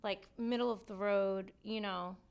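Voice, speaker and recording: A woman's voice: mid-range voice, fairly even intonation, measured pace, gravelly, clean tone. A woman, a comedian guest on a podcast, chatting casually with lots of filler words. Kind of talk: casual chat.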